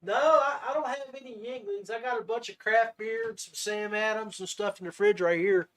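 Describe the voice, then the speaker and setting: A man's voice: sits high, normal speed, a bit bright. A man hosting a podcast and chatting casually with his guest. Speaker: podcast host. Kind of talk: casual chat.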